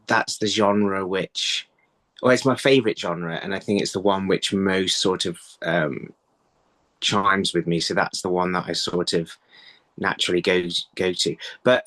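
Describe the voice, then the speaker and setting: A man in his thirties giving conversational interview answers over a video call, haltingly, thinking aloud and repeating words. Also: low voice, a bit bright. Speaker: writer being interviewed. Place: indoor room, video call. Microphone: phone on a video call.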